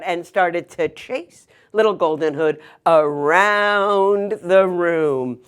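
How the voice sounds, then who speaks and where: A woman's voice: mid-range voice, clear, resonant tone. A woman telling a fairy tale to children in a dramatic style, with emphatic repetition. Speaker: storyteller.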